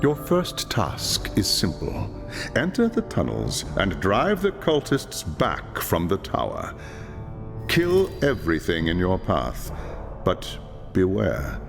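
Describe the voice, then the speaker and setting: A grave, weary military commander for a fantasy video game. His delivery is slow and authoritative with expressive swings in pitch, and it rings through a long hall reverb. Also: vocal fry, music in the background, full character voice. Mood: grave, warning. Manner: measured, solemn, authoritative. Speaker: military commander giving orders. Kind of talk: dramatic video game voice acting.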